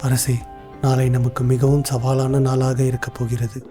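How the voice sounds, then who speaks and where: An actor voicing a wise, cautious royal minister in a cartoon, speaking formally and in a measured way. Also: male voice, mid-range voice, completely flat delivery, mellow, soft background music, lightly acted. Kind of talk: cartoon voice acting.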